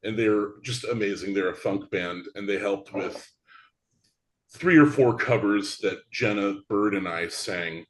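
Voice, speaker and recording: Man, guest in a podcast chat; lower voice, long-winded, restarts his sentences a lot. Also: unhurried, rough recording. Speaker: theatre creator guest. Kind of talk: casual interview chat.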